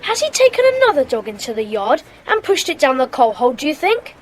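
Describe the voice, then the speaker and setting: A woman's voice: high-pitched, very animated, fast talker, slight background noise. A woman playing a sensible, sceptical schoolboy in a detective gang, reasoning matter-of-factly in a children's audio drama. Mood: excited.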